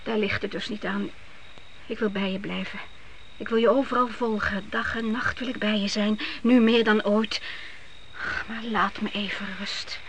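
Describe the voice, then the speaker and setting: A woman's voice: medium pitch, steady tone, moderate pace, a bit bright, natural voice. An actress playing an exhausted, devout, loving middle-aged mother in a Dutch radio play, pleading and reverent. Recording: noisy old radio drama recording.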